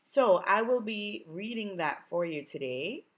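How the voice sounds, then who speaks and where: A woman's voice: mid-range voice, animated, a little slow, lo-fi sound. A Jamaican woman who is a little under the weather, reading her own novel aloud in a chatty, enthusiastic way. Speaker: author.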